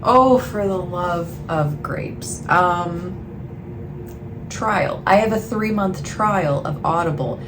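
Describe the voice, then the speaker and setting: A woman with a fairly low voice talks casually to her viewers like a book vlogger. She rambles in a self-deprecating way and lets thoughts trail off. Clear ambient noise runs behind her voice. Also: slow. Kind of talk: casual chatty vlog talk to camera. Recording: clearly noisy, ambient background sound.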